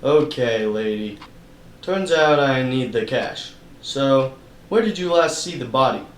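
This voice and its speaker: A man's voice: mid-range voice, animated, unhurried, echoey room, far from the mic, a little hiss or hum, close to an everyday voice. A man playing a cynical, money-minded private investigator in a noir radio play, with slangy, wisecracking tough-guy patter. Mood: brusque.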